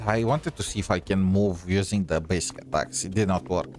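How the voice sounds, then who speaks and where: Low-voiced man commenting on a game. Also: music in the background. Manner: halting, muses aloud. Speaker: game streamer. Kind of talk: casual gameplay commentary.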